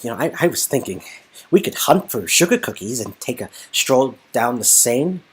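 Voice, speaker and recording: A goofy young hero of a romance game voiced by a man. He is laid-back, jokey and affectionate. His voice is husky and swings up and down freely. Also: mid-range voice, big pitch swings, normal speed. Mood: eager.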